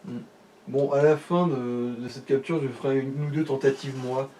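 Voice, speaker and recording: A man's voice: mid-range voice, steady tone, normal speed, warm tone, low-quality recording. A man chatting casually as a co-commentator on a video game let's play. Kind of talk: casual chat. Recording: faint background noise.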